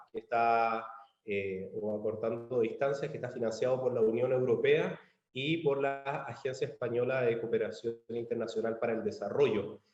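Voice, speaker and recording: A man from Chile with a Chilean accent gives a measured online presentation, pausing on fillers and restarting phrases. His voice sits in a middle range. It comes through a dull, lo-fi video-call line from a roomy space. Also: medium pitch. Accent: Chilean Spanish. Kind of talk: virtual conference presentation. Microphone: computer mic on a video call.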